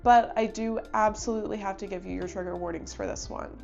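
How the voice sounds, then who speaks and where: A woman's voice: medium pitch, fairly even intonation, fast talker, gravelly, a little mellow, highs cut off, soft background music. A young woman with a stuffy voice from a cold, chatting enthusiastically as host of a book review channel. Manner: chatty, enthusiastic, thinks aloud. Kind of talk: casual book review talk.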